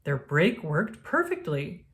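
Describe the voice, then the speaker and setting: Expressive woman reading a children's storybook. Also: deep voice, takes it slowly. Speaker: storybook reader. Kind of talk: children's read-aloud narration.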